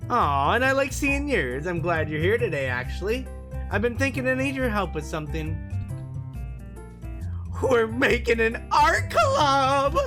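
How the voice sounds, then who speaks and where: A man's voice: very high voice, very animated, unhurried, bright, forceful tone, pitched above the performer's own voice, full character voice. Man putting on an eager young college girl. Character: cheerful, pushy young female college student who draws. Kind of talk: visual novel character read aloud. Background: music from the game.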